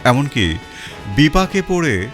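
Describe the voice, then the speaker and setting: A man narrating a detective story in the first person, in an expressive, dramatic reading style. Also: medium pitch, takes it slowly. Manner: expressive, rising and falling. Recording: faint music bed.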